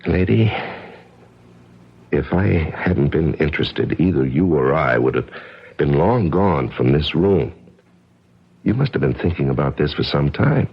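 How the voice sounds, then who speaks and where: An actor playing a streetwise, wary drifter in a 1970s radio drama, with a sardonic, slangy way of talking. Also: male voice, rumbling low, lively intonation, measured pace, gravelly, long pauses, slightly rough, heavily acted. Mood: interested, wary. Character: streetwise, wary, wisecracking male drifter drawn into crime. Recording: dull lo-fi old radio recording.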